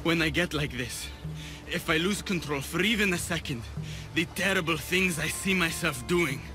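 A man playing a troubled, vulnerable young Athenian war veteran in a video game, speaking haltingly. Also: a little high, music in the background, natural voice. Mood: shaken. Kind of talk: video game cutscene acting.

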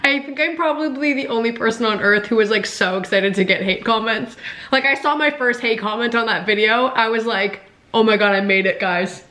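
Young woman vlogger, sick and raspy, animated and sarcastic, fairly high voice, filmed at home at desk distance. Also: fairly quick. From Canada. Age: young adult. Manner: animated, sarcastic, laughs often. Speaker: YouTuber. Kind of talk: casual vlog, reading comments. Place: home kitchen, indoors.

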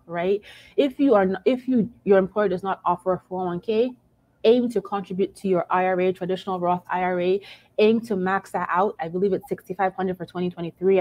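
A woman's voice: lowish voice, a little mellow, low-quality recording. A woman in her thirties giving encouraging personal finance advice over a video call from home, often adding "right?". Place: home room, indoors. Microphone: video call webcam.

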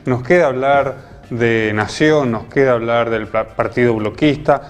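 A man hosting a TV talk show wraps up a segment in a brisk, announcing manner. His voice has a medium pitch and a plain delivery. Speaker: TV show host. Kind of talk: closing a segment before the break.

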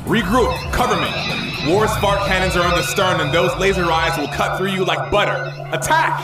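A man plays an earnest fleet commander in a sci-fi radio comedy. His dramatic, slightly high voice rings with echo over loud music. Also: animated, moderate pace. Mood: urgent, commanding. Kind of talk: radio comedy battle narration and dialogue. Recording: echoey, loud music behind.

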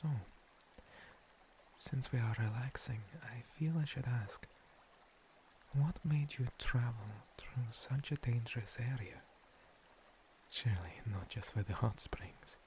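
A man acts out a gentle, ancient monster priest for a fantasy ASMR roleplay. He whispers softly and slowly in a mellow, breathy tone, choosing courteous, formal words with small hesitations. A clear layer of ambient noise sits under the voice. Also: medium pitch, lightly acted. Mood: soothing. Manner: soft-spoken, hesitant, courteous, formal phrasing. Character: gentle, long-lived male monster cleric of a dream god.

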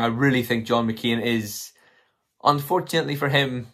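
A man in his twenties with a slightly hoarse voice, talking casually and tentatively to camera as he thinks through his commentary. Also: medium pitch, fairly bright tone. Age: 20s. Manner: tentative, hedging, thinking aloud. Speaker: political commentary vlogger. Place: small room at home, indoors.

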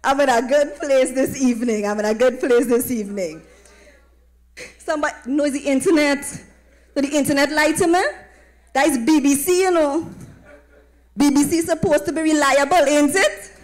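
A woman's voice: sits high, moderate pace. A Trinidadian woman evangelist addressing a congregation through a handheld microphone, often asking listeners to respond. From Trinidad and Tobago. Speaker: evangelist, guest preacher. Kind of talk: preaching, welcoming a congregation. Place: tented church platform with draped backdrop.

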